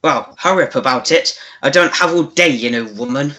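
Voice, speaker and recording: An actor plays a male melodrama scoundrel with a mid-pitched voice. He is pompous, impatient and bossy, scolding his underlings and scheming grandly in a radio play. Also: brisk, bright, forceful tone, close to an everyday voice. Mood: haughty, impatient.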